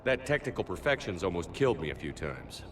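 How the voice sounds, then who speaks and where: A man playing a decisive, steady military commander in a sci-fi video game, curt and businesslike. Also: low-pitched, fairly quick, noisy background. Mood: calm, businesslike.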